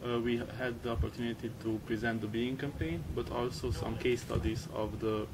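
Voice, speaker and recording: Male volunteer from Romania, gravelly voice, earnest explanations, heavy noise behind. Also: mid-range voice, steady tone, normal speed, recorded from a distance. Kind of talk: conference interview answers. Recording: loud background noise.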